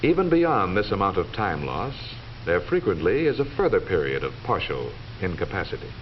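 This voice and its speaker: A middle-aged American man giving formal, measured, authoritative scripted narration in the manner of a 1940s educational film. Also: sits low, sing-song. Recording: dull vintage 1940s film soundtrack, faint noise.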